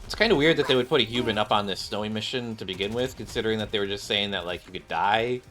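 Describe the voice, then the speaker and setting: A man's voice: lowish voice, quick pace, a bit bright. A man giving casual let's-play commentary as a gaming streamer, talking through a game and reading some of it aloud.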